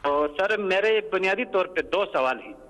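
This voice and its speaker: Male caller, high-pitched and piercing, respectful yet insistent, airing grievances by phone. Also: lively intonation, moderate pace, a bit bright, rough recording. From Gilgit, Pakistan. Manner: respectful, insistent, often says sir. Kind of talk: putting questions and complaints. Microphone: telephone call.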